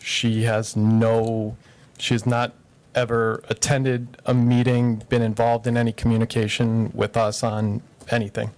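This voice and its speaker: A man's voice: medium pitch, flat delivery, measured pace, darkish tone. Firm, matter-of-fact man at a meeting. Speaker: contractor representative answering council questions. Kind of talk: public meeting testimony.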